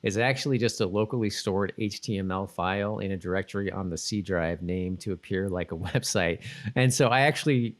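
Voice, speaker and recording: A man's voice: sits low, brisk. A man co-hosting a podcast in casual, rambling chat with lots of hedging, sometimes reading notes aloud. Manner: rambling, hedging, often saying "like". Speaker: podcast co-host.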